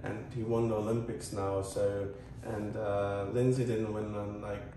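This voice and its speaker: A man from Croatia with a Croatian accent, talking casually to viewers as a tennis channel host in a halting, earnest, rambling way. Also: on the low side, little pitch movement. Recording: echoey, distant mic, faint noise.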